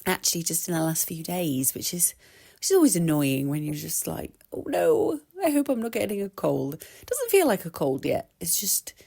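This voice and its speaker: A woman's voice: lowish voice, very animated, normal speed, vocal fry, dark tone. A woman in her thirties with an English accent hosts her own podcast in a rambling, chatty monologue, a little congested from an oncoming cold. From England. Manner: chatty, rambling, with many restarts and laughs. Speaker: podcast host. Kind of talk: casual solo podcast monologue.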